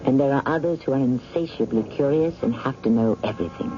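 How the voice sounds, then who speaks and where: A man hosting a vintage radio drama, narrating from a script in a wry, measured, urbane theatrical manner. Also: mid-range voice, a little hiss or hum. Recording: muffled vintage radio broadcast. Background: loud clear music.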